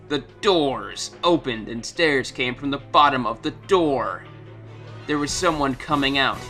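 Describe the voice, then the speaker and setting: Male narrator, slow pace, sharp forceful tone, mock-dramatic story reading, music behind. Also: medium pitch.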